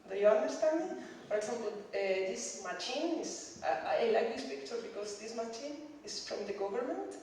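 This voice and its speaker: A woman's voice: fairly high pitch, animated, moderate pace, few pauses, a little mellow, a little hiss or hum. A woman in her thirties with a Chilean Spanish accent, Spanish her first language, giving a conference talk in careful, hesitant English with many fillers and small laughs. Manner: hesitant, many fillers, nervous laughs, checks audience understands. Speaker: conference speaker. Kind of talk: conference presentation. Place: dark conference stage. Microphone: lectern mic from far off. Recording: distant echoey hall recording.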